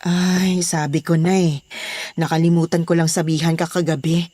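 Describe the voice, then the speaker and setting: A woman's voice: fairly low pitch, level tone, moderate pace, warm tone, no room sound, lightly acted. A woman with a Filipino accent playing a protective, bossy daughter running a household in a horror audio drama. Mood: matter-of-fact.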